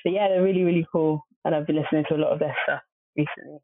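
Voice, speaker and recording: A woman's voice: deep voice, fairly even intonation, racing, no room sound. A woman guest on a podcast, chatting casually and hesitantly, with many fillers. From family from southern Nigeria. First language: Obolo. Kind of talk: casual interview chat. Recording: dry, phone-like lo-fi audio.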